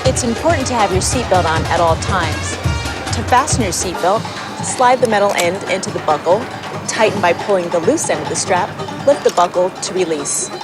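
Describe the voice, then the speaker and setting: A woman's voice: fairly low pitch, moderate pace. A woman presenting scripted safety instructions in an airline video. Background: loud music.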